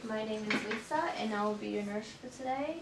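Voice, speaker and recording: A woman's voice: mid-range voice, brisk. A young woman playing a nurse in a clinical demonstration, politely talking a patient through assessment steps. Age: young adult. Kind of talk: scripted clinical role-play, explaining steps to patient. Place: small clinic exam room, indoors. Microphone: far camera microphone. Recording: echoey room, distant mic, noisy background.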